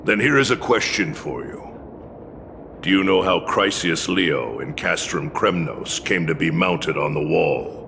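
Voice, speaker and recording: An actor playing a sly old warrior mentor in a fantasy game, speaking in a grand, teasing storyteller's manner. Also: male voice, sits low, lively intonation, a little slow, vocal fry, background noise, exaggerated voice. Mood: grand, savoring. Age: older. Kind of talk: theatrical fantasy game acting. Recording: background music under the voice.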